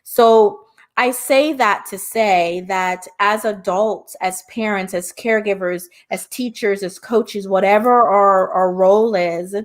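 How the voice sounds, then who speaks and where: A woman's voice: medium pitch, slow. A middle-aged woman from Jamaica, hosting a live-stream discussion and speaking passionately, often turning to address her viewers directly. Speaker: host and hypnotherapist.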